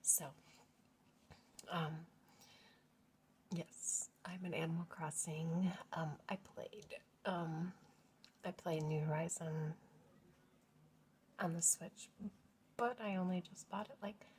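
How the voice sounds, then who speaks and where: Slow, hushed woman in her forties. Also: on the low side, steady tone, slight background noise. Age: 40s. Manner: hushed, half-whispered talk; rambling, loses her words, laughs often. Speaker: family vlogger. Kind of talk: casual rambling vlog chat. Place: at home.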